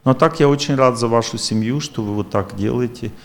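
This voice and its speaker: A man's voice: mid-range voice, brisk, creaky. A man lecturing an audience and taking their questions, talking in a rambling, repetitive but self-assured way.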